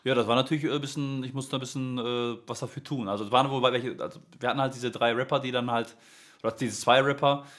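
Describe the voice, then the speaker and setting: A man's voice: medium pitch, steady tone, quick pace, a bit bright. A man, a music teacher, chatting casually as an interview guest, rambling with many fillers and restarts.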